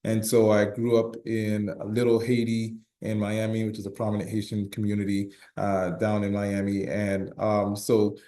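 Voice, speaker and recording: A man's voice: lowish voice, monotone, moderate pace, hardly any pauses, dark tone, echoey room. A man speaking as a panelist in an online discussion, talking hesitantly with many fillers. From United States. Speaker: panelist, business chamber leader.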